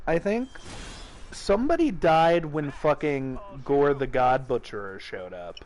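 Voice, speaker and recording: A man's voice: fairly high pitch, animated, a little slow, darkish tone. A man hosting a casual nerdy podcast chat, rambling, swearing and laughing often. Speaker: streamer and podcast host.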